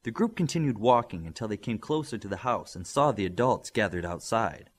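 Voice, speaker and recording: A man's voice: lowish voice, animated, a little fast. A man reading scripted fiction as an audiobook narrator.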